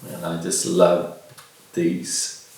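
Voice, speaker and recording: A middle-aged man with a gravelly voice, casually showing and reviewing a product at a table in a bare room, picked up by a camera a few metres away. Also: sits low, completely flat delivery, very slow, a little mellow. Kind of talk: casual unboxing demonstration. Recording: very echoey room, distant mic, faint noise.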